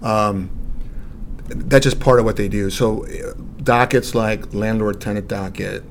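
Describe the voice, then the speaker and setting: A mature American man answers interview questions in a fairly low voice. He explains things in a measured way, over a faint rumbling noise. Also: fairly even intonation, vocal fry. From Saint Louis, United States. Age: middle-aged or older. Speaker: lawyer, interview guest.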